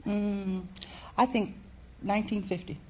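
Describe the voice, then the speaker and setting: A woman's voice: mid-range voice, flat delivery, measured pace, husky, lo-fi sound. A woman answering questions as a quiz show contestant on an old radio recording.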